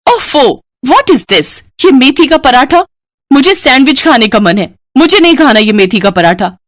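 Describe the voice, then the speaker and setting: A woman's voice: sits high, animated, unhurried, no room sound, pitched above the performer's own voice. A woman voicing a spoiled, demanding young woman in a family story, petulant and complaining as she bosses her sisters-in-law.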